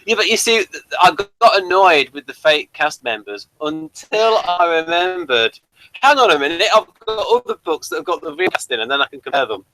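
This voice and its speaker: A man's voice: fairly high pitch, big pitch swings, normal speed, bright, forceful tone, poor audio. A man hosting a casual review chat, rambling and thinking aloud as he goes. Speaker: podcast review host.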